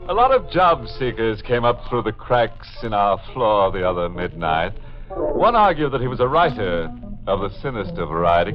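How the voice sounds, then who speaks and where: A man with a low, swooping voice plays sardonic host on a 1940s horror radio show. He is theatrical and mock-sinister, with organ music behind him on a muffled old recording.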